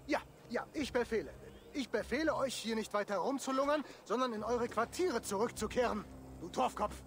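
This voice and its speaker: An actor playing a nervous, boastful, stuttering monk who poses as a pompous lord in a video game. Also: male voice, extremely high pitch, a little fast. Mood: blustering, flustered. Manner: stutters when nervous, blustering. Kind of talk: dramatic video game dialogue.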